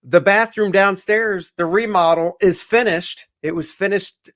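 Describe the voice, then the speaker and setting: A man's voice: high-pitched, unhurried, fairly bright tone. A man co-hosting a casual livestream, rambling and joking with plenty of fillers. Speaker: comic artist co-host.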